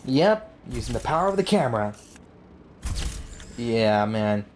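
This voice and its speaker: A woman's voice: a bass voice, sing-song, unhurried, darkish tone, slight background noise. A woman giving casual gameplay commentary as a streamer, slangy and reactive, talking to herself and viewers. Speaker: gaming streamer. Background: game music.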